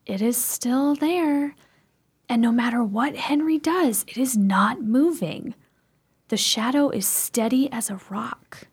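A woman playing a bold, curious, strong-willed sister in a radio chiller, with formal, dramatic diction and a husky voice. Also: fairly high pitch, slow pace, a little mellow, heavily acted. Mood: watchful. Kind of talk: theatrical radio play acting.

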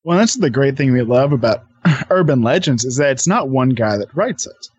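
A man's voice: medium pitch, expressive, moderate pace, a little mellow, breathy edge, dry recording, highs cut off. A man talking as a podcast guest, eagerly explaining things in casual conversation. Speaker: podcast guest, co-host of own show. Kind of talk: casual interview chat.

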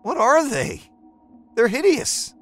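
A man voicing an exasperated, scornful wife who scolds in a sharp, incredulous manner. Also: very high voice, huge pitch swings, takes it slowly, soft background music, pitched above the performer's own voice, full character voice. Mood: appalled.

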